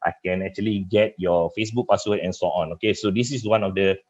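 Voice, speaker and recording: Low-voiced Malaysian man teaching a demo. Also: a little mellow. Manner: halting, repetitive filler phrases. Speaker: cybersecurity trainer. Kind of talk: live software demonstration in a webinar. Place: online webinar with screen share. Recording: dull, muffled webinar audio.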